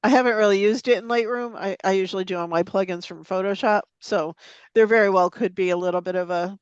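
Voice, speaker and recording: A woman's voice: mid-range voice, steady tone, fast talker, smooth, no echo, muffled recording. A woman teaching a photo editing demonstration, chatty and casual with frequent ums, sniffling a little from a cold. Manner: chatty, laughs easily, lots of ums. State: has a slight cold, sniffling. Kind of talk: live software demonstration. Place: meeting room or online forum.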